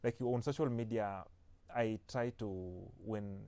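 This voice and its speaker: A man's voice: mid-range voice, steady tone, measured pace, mellow, clear, resonant tone. A young Kenyan man with a Kenyan accent, an author guest on an interview, talking casually with frequent restarts. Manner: hesitant, restarts often, laughs lightly.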